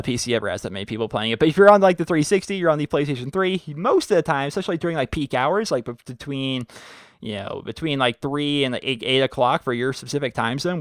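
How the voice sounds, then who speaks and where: Young male gaming vlogger, hoarse from losing his voice, rambles fast, dry home recording. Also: fairly high pitch, dry recording. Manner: rambling, filler-heavy, "like" after every few words. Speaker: YouTube gaming channel host. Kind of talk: casual chat answering viewer questions.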